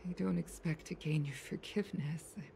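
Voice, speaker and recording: A woman's voice: low voice, fairly even intonation, brisk, gravelly, mellow, a little hiss or hum. An actress voicing a stern, dignified, weary mother in a video game, speaking formally and with measure. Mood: sorrowful.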